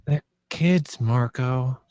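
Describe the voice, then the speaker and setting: A tough, tired teenage girl acted by a woman at a table read, mellow in tone and unhurried. She is terse and guarded, the one in charge of the younger kids. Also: a bass voice, exaggerated voice. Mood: tense, reproachful.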